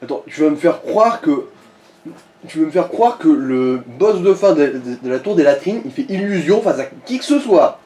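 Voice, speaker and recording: A man's voice: fairly high pitch, expressive, moderate pace, mellow. A man chatting casually as he advises on a video game, crude and joking at times, often explaining things. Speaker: co-commentator advising the player. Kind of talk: casual gaming let's play chat. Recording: roomy, distant mic, faint noise.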